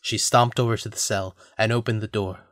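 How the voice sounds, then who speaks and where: A man's voice: lowish voice, steady tone, brisk, fairly bright tone, dry recording, studio quality. A man narrating a scripted audio drama as a storyteller.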